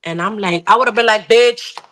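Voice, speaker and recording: A woman's voice: medium pitch, normal speed, a bit bright. Emphatic woman in slangy, repetitive chat. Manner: emphatic, slangy, repeats phrases. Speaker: book club podcast host. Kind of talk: casual bilingual chat with dramatized retelling.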